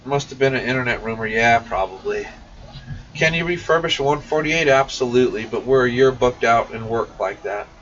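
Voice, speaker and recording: A man's voice: medium pitch, lively intonation, fairly quick, fairly bright tone. A man hosting a casual shop livestream, reading and answering viewer comments in a folksy, informal way. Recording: muffled, distant mic with faint noise.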